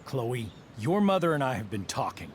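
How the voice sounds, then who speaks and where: A man's voice: medium pitch, sing-song, moderate pace, slight background noise, close to an everyday voice. A man playing a confrontational, authoritarian middle-aged ex-soldier and father figure in a video game cutscene. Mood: stern. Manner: commanding, confrontational.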